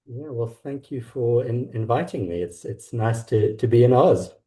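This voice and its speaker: A middle-aged South African man talking reflectively and haltingly as an author being interviewed. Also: medium pitch, animated, warm tone. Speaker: author guest. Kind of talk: conversational interview about own work.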